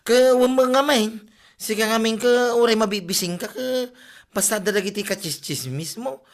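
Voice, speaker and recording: A woman's voice: on the high side, moderate pace, natural voice. A woman acting an exclamatory Ilocano gossip. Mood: needling. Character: exclamatory, teasing gossipy village neighbour woman. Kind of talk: comedy drama podcast acting.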